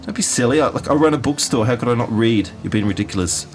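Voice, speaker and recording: A man's voice: medium pitch, expressive, fast, faint music behind, lightly acted. Man playing a blustering, bluffing bookshop man. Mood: defensive bluffing. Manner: blustering, chatty, insistent. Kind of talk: comedy radio sketch.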